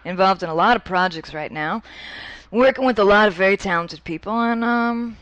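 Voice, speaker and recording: A woman's voice: mid-range voice, fairly quick, fairly bright tone, no echo, rough recording. A woman in her late thirties from New Hampshire, a guest actress chatting on a podcast with wry, self-mocking humor. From New Hampshire, USA. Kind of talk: casual podcast chat.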